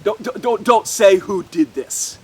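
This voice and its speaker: Man acting a slow-spoken, authoritative holy healer. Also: high-pitched, mellow, recorded from a distance, a little hiss or hum, pitched below the performer's own voice. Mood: gentle. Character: calm, authoritative holy healer, gentle but commanding. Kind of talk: live Bible storytelling.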